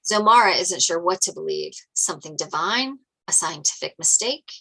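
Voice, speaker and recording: A woman's voice: fairly low pitch, expressive, moderate pace, vocal fry, pushed and bright, a little hiss or hum, low-quality recording. A woman reading stage directions as narrator at a script table read.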